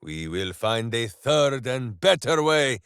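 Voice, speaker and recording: An actor playing a big-hearted, boisterous, simple-minded heroic ranger in a video game, declaiming theatrically. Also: male voice, mid-range voice, big pitch swings, slow pace, a bit bright, clear, resonant tone, no room sound, studio quality, full character voice. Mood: earnest, pondering. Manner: theatrical, declaiming, speaks of himself in third person. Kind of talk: video game character voice lines.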